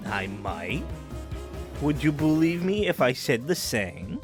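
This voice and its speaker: A man's voice: mid-range voice, sing-song, takes it slowly, faint music behind. A man playing a friendly, teasing crook in prison in an audio drama, talking in a playful, colloquial way. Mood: wry, chatty. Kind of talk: audio drama character acting.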